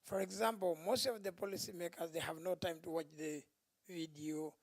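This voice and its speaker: A man with a Tanzanian accent asking spontaneous questions from the floor at a conference, halting and repeating himself. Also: sits high, fairly even intonation, no echo. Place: indoor conference.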